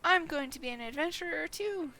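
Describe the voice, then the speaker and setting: A small, sweet, insistent girl from a fantasy game acted out by a woman. The voice jumps up high and bright, childlike and full of questions. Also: expressive, fairly quick, exaggerated voice. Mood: determined.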